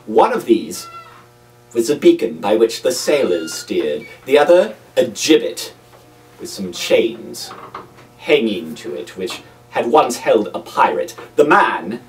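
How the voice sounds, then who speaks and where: A man narrating classic literature as a reader, in a deliberate, theatrical storytelling manner. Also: medium pitch, recorded from a distance, poor audio. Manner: deliberate, dramatic.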